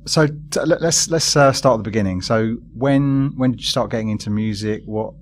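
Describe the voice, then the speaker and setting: A man's voice: mid-range voice, normal speed. A man hosting an interview, chatty and often restarting his questions. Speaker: interviewer, host. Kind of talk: casual interview.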